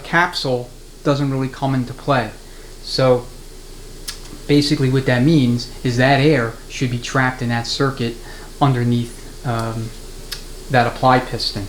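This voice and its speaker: A man with a mid-to-low voice walks through a hands-on repair job like a shop instructor. He is methodical and plain-spoken, laying out each step as he works. The room rings with echo, and there is clear noise behind him. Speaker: transmission mechanic giving a how-to demonstration. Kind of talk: hands-on workshop tutorial, unscripted explanation. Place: indoors at a transmission workbench.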